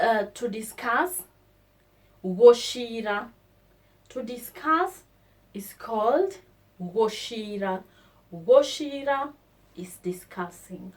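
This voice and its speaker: Woman teacher, very slow and careful, repeats every word. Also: fairly high pitch. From Kenya. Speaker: language teacher. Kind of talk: slow vocabulary lesson.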